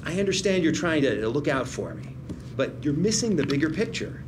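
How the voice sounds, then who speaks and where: An actor playing a proud, proper older lawyer brother, earnest, precise and placating, at a script table read. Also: male voice, a little high, sing-song, brisk, background noise. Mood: earnest, hopeful.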